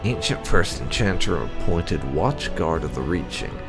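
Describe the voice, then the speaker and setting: Male game channel host, low gravelly voice, theatrical lore reading with music underneath. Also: big pitch swings, measured pace.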